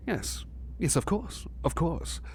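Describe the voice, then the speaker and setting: A man playing an eager, courteous butler in an ASMR roleplay, speaking formally and politely with flustered, excitable stammering. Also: on the high side, slow, slight background noise. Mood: nervous, courteous. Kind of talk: ASMR roleplay acting, personal attention.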